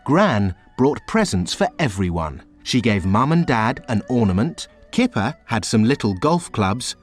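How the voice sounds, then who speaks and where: A man reading a children's storybook aloud in a slow, very expressive storytelling way. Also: medium pitch, faint music behind. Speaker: storybook reader. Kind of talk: children's read-aloud narration.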